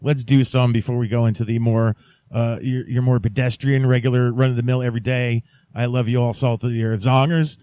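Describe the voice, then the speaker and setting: Male podcast host, medium pitch, dry close sound, rambling, halting, tongue-in-cheek. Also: steady tone, mellow, smooth, dry recording. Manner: halting, mock-sincere joking. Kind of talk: casual rambling podcast chat.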